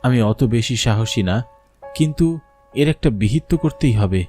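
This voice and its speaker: A man's voice: medium pitch, animated, moderate pace, darkish tone, faint music behind, lightly acted. A man playing a frightened young university student, narrating a horror cartoon in a suspenseful, dramatic first-person style. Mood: fearful, suspenseful. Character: frightened young male university student telling his ghost story.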